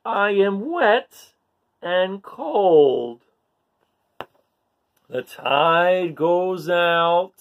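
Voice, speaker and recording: A man voicing a bored, envious storybook barnacle with a deadpan, drawn-out, complaining delivery. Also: high voice, narrow, tinny audio, voice pushed up, heavily acted. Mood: bored, gloomy. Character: bored, grumbling, envious barnacle from a picture book. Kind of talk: storybook read-aloud.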